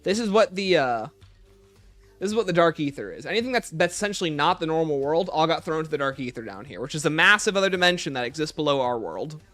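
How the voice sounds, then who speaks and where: Male streamer, higher-pitched and lively, casual Q&A delivery, faint music underneath. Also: fast talker. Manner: informal, thinks aloud. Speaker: livestream host. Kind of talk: casual livestream Q&A, reading out and answering chat questions.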